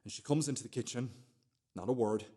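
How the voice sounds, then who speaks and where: An actor playing an anxious, self-justifying man in a radio play monologue, talking in a halting, repetitive, conversational way. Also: medium pitch, mellow, husky, close to an everyday voice. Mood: quiet, tender.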